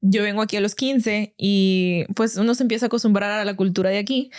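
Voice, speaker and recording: A woman's voice: medium pitch, fairly even intonation, fairly quick, a little mellow. Salvadoran woman in her 20s hosting a chill podcast chat, polite and friendly, lots of "este". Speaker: podcast host. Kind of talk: casual interview chat.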